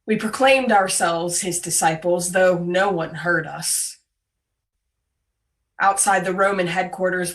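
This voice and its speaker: Woman reading as a slow, grieving female disciple, distant mic. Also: mid-range voice, a bit bright. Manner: slow, sorrowful, deliberate. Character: grieving female disciple of Jesus, devoted witness. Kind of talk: dramatic monologue reading in worship. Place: remote, on a Zoom call. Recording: distant microphone, video call audio.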